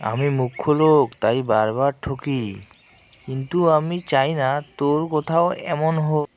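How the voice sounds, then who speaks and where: A man's voice: medium pitch, slight background noise, lightly acted. A man voicing a simple, honest father crocodile who talks plainly and earnestly. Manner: plain, earnest, calling out loudly.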